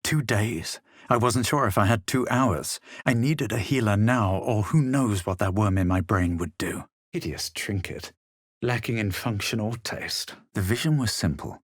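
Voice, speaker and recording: Low, husky, haughty adventurer. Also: male voice, measured pace, no echo, clean studio sound. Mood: haughty, wary. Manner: superior, clipped, dramatic. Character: haughty, self-assured adult male fantasy adventurer. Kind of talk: video game voice lines and narration.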